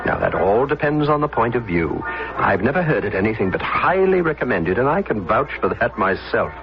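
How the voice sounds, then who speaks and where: An actor playing a wry, breezy, rebellious upper-class son who narrates and banters in a period radio drama. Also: male voice, mid-range voice, big pitch swings, normal speed. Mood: breezy, playful. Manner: wry, playful, wordy banter. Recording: dull old radio recording with music.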